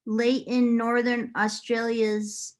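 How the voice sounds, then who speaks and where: A woman's voice: a little high, level tone, takes it slowly. A woman doing an informal, unrehearsed read-aloud. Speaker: reader.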